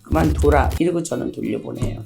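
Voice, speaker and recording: Low, gravelly, hoarse middle-aged woman. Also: a little fast, dark tone, faint music behind. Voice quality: husky, gravelly. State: hoarse from a cold. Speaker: shaman fortune teller. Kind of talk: interview answers.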